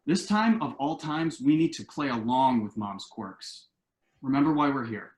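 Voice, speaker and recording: An actor playing an earnest, anxious adult son in a conversational comedy play reading, explaining things in an echoey room. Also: male voice, medium pitch, expressive, moderate pace, a little mellow, close to an everyday voice. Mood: anxious, pleading. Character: earnest adult son, anxious and quirky about house rules.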